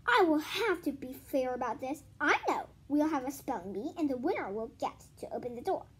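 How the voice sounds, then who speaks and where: A female reader voicing a brisk, fussy, cheerfully oblivious woman schoolteacher with a theatrical singsong delivery. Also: high-pitched, expressive.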